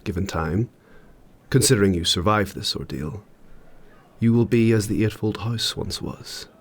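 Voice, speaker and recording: A male actor gives voice to an age-old warrior spirit in a fantasy audio drama. The voice is low and rough-edged, with a husky grain. Every phrase is grave, formal and measured. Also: lightly acted. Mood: grave, instructive. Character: ancient, solemn warrior spirit living in another's mind.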